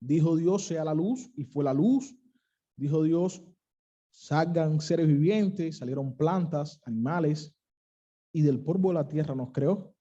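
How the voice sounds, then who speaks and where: A young man with a Cuban accent preaching an earnest sermon, pausing to stress key phrases. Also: a little high, mellow, dull audio. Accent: Cuban, western coastal. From Cuba.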